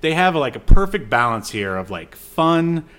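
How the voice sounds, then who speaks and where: A man hosting a podcast, casually discussing a film in a halting, agreeable, conversational way. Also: a little high, sing-song, fairly bright tone, smooth. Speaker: podcast host reviewing films.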